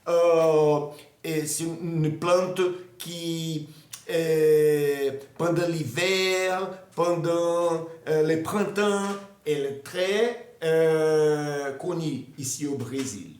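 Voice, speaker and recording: A man from Brazil with a Brazilian Portuguese accent, explaining plants in a learned language, halting with many hesitations and restarts. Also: on the high side, a little mellow, roomy sound. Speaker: botany presenter. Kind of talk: educational talk in a learned language.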